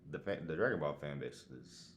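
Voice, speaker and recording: A man with an extremely low voice co-hosts a podcast. He speaks in loose, slangy chat, hyping up what he likes. A little background noise sits behind him. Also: expressive, a little fast. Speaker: podcast co-host. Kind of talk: casual chat.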